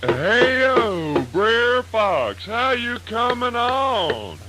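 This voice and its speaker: A man's voice: very high voice, sing-song, extremely slow, loud music behind the voice, exaggerated voice. A man playing a sly, folksy trickster rabbit in children's radio theatre, with a Southern US accent and a drawling, mock-sorrowful manner. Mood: friendly, folksy. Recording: noisy old radio drama recording.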